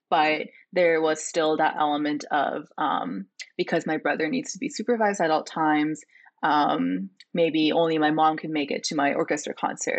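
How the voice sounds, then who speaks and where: A woman in her twenties, a native Korean speaker from South Korea, answering interview questions in a relaxed, thoughtful way with many fillers. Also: low voice. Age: 20s. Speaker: guest.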